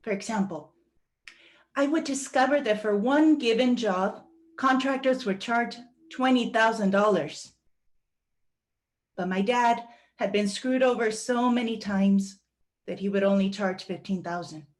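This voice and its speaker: A woman reading a first-person monologue aloud as a guest reader, with a deliberate, earnest storytelling delivery. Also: mid-range voice.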